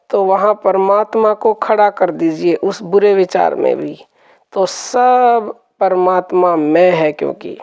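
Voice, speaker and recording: A woman guides a meditation session in a medium-pitched voice. She speaks very slowly and calmly, giving step-by-step instructions. Phrases come back in gentle repetition. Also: vocal fry, lo-fi sound.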